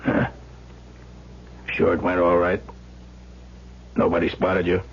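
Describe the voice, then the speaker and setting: Deep, gravelly crime boss. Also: male voice, completely flat delivery, slow, exaggerated voice. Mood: gruff, suspicious. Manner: curt, grumbling. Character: gruff, suspicious male crime boss. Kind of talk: 1970s radio drama acting. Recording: dull, humming vintage radio recording.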